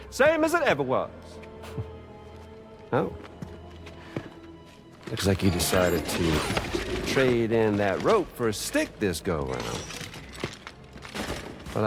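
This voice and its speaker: A man's voice: low-pitched, heavily acted. An actor playing a cruel, theatrical male villain who drawls and mocks in a video game cutscene. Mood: mocking. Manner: drawling, mocking, sing-song teasing. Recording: game cutscene with loud score.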